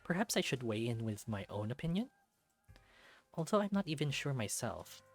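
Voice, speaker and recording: A man's voice: mid-range voice, big pitch swings, moderate pace. A man reading a visual novel aloud as a let's-play, narrating expressively. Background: game music.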